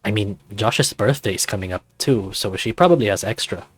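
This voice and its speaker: A man's voice: fairly low pitch, a little fast, close to an everyday voice. A man voicing a goofy, laid-back teenage male furry best friend with casual, hesitant slacker phrasing in a visual novel. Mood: sheepish.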